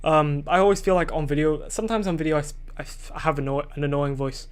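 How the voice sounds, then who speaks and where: Young adult male solo podcaster, slightly high voice; rambling, self-doubting delivery with casual swearing. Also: fast, clear, resonant tone. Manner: rambling and self-questioning, loses his train of thought. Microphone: desk microphone.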